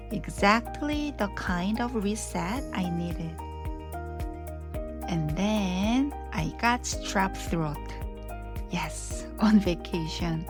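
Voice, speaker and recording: A middle-aged woman hosting her podcast, reading scripted narration in a reflective, unhurried way, her voice a little scratchy from illness. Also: mid-range voice. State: slightly scratchy voice after strep throat. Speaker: podcast host.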